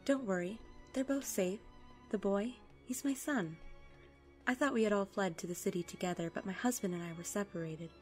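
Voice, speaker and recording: An actress playing a gentle mother tending the wounded in an audio drama, soothing in manner. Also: medium pitch, moderate pace, faint music behind, lightly acted.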